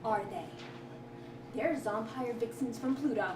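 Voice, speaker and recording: An earnest female investigator acted by a woman pleads and challenges in a high voice. The staged sci-fi reading is picked up from afar with clear noise. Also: unhurried, a little mellow, natural voice. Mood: nervous. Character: earnest, indignant young female investigator confronting a scientist. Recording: distant, echoey live room with clear noise.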